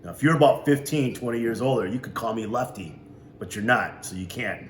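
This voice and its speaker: A man's voice: medium pitch, fairly quick, lightly acted. A man voicing a jovial older grandfather who drives and teases in a wry, folksy way. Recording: roomy echo, faint hiss.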